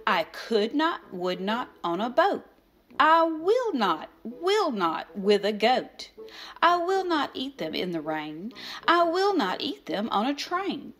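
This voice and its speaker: A woman voicing a stubborn, exasperated storybook grouch with emphatic, drawn-out protests. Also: on the high side, sing-song, fairly bright tone, heavily acted. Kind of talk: expressive children's picture book read-aloud.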